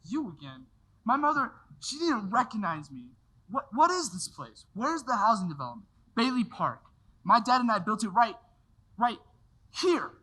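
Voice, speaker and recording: Casting: performer as a desperate family man, lively swinging pitch, bright edge, frantic pleading. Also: normal speed, slightly rough, heavily acted, rough recording. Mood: bewildered. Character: desperate man in his thirties pleading for his old life back.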